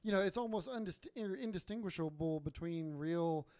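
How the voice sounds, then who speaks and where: A man's voice: fairly high pitch, normal speed, a little mellow, dry recording, studio quality. A man co-hosting a podcast in casual, sweary conversation. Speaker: podcast co-host. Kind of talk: casual chat.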